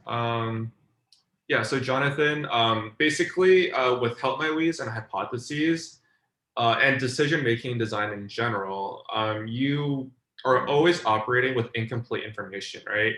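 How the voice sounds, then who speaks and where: Bright-voiced man mentoring on a video call. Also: mid-range voice, a little slow. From China. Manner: loose and talky, filler words, "like" often, checks "does that make sense". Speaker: design mentor hosting a group session. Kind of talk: casual online teaching. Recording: roomy echo.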